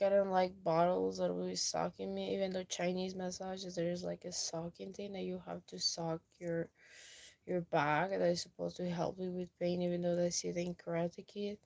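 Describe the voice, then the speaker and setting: Young woman, slow drawl, mellow tone, meandering talk. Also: on the low side, creaky. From Peru. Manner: meandering, lots of fillers. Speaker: YouTuber. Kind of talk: rambling casual chat.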